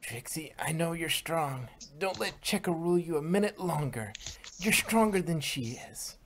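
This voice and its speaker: A woman's voice: deep voice, animated, normal speed, a little hiss or hum. A woman voicing a firm, loving old grandmother pony, earnest and reassuring, in an amateur fan fiction reading. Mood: encouraging.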